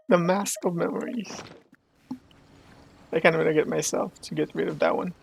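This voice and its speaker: A woman's voice: on the low side, steady tone, a little slow, vocal fry, slight background noise, low-quality recording. A woman giving offhand commentary as she plays a video game, sometimes reading out dialogue choices. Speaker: gaming YouTuber playing a game. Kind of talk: casual playthrough commentary.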